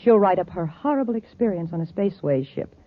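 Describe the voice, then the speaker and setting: An actress playing a vain, scheming woman executive in a 1950s radio space serial, brisk and self-satisfied. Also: on the low side, animated. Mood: smug. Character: vain, scheming female boss of space line. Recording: tinny, phone-like old radio recording.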